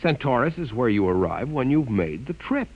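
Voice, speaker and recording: Brief: young scientist, mid pitch, very lively intonation, earnest and easily alarmed, old radio play. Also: male voice, normal speed, dull audio. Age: young adult. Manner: earnest, indignant, often alarmed. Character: proud, frightened young male scientist. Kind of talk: 1950s radio drama acting.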